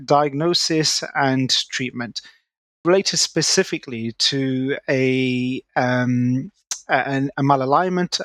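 Man, thirties, articulate podcast guest, middle pitch, dry close sound. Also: a bit bright, no echo. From Manchester, England. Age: 30s. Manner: articulate, explanatory, quick with restarts. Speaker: guest orthodontist. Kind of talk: podcast interview.

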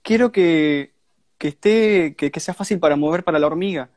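A man's voice: a little high, normal speed, darkish tone, no echo, clean studio sound. A seventeen-year-old young man from Argentina with an Argentine accent, casually explaining his hobby as a guest on a live chat. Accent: Argentine Spanish. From Santa Fe, Argentina. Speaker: guest hobbyist ant keeper.

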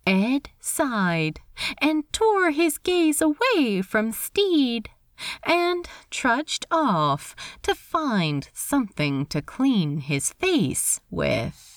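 Lively woman reading fiction aloud, slow-paced. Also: a little high, dry recording, clean studio sound. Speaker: fan fiction reader. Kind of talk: expressive scripted audiobook narration.

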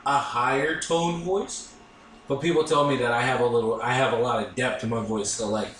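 A man's voice: medium pitch, steady tone, moderate pace, fairly bright tone, reverberant, a little hiss or hum. A young man co-hosting a podcast, laid-back and dry as he jokes around. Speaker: podcast co-host. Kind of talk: casual chat.

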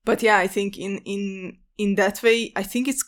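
A woman talking in an academic discussion, chatty and quick to restart her sentences. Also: mid-range voice, steady tone. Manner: chatty, many fillers and restarts. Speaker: podcast co-host.

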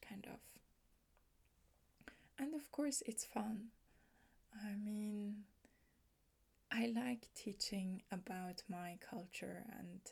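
A woman from Switzerland with a Swiss German accent, a native Swiss German speaker, hosting a podcast in a casual solo talk, soft and often half-whispered, thoughtful with small restarts. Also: mid-range voice, creaky. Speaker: podcast host.

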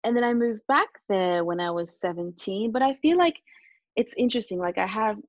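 A woman from Japan and Australia, a native Japanese speaker, talking earnestly and reflectively as an interview guest, in a calm, hesitant way. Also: medium pitch, a little fast, no echo, highs cut off.

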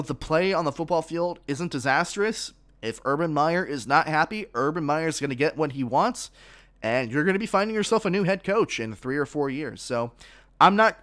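A man's voice: a little high, a bit bright, smooth. A man hosting his own sports podcast, giving opinions with many fillers and restarts, a little congested from a frog in his throat.

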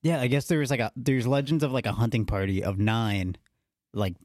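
A man's voice: mid-range voice, steady tone, fairly quick, a little mellow, dry recording, clean studio sound. A man co-hosting a casual podcast, rambling with many filler words and casual swearing. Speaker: podcast co-host. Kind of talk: casual chat.

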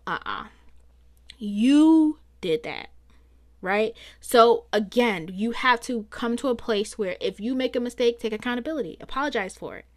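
A woman hosting a solo podcast, talking through her thoughts in a chatty, reflective way, her voice a bit strained from so much talking. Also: high voice. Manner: chatty, reflective, rambling with fillers. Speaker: podcast host. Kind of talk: casual solo podcast monologue.

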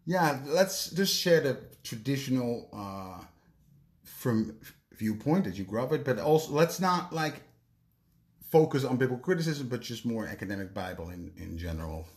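A man from the Netherlands hosting a casual podcast discussion, talking in a somewhat halting, hesitant way. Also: mid-range voice, expressive, darkish tone. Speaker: podcast host.

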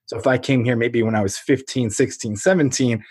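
A man in his thirties, a podcast guest, talking casually in an articulate, steady, explanatory way. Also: medium pitch, a little fast, breathy edge. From Ukraine, raised in the United States from age five. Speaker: podcast guest, marketing agency owner.

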